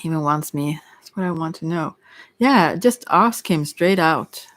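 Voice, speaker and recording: Halting woman casually reading tarot, dry recording. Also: low voice, expressive, fairly bright tone, no room sound. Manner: halting, thinking aloud. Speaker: tarot reader.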